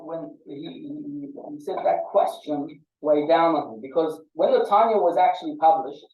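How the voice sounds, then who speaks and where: A man gives an informal lecture to a small class in a fairly high voice. He restarts and repeats words as he works through his explanation. The sound is thin like a phone line and comes with a strong room echo. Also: far from the mic. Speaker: class teacher. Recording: muffled phone-like audio, echoey, off-mic.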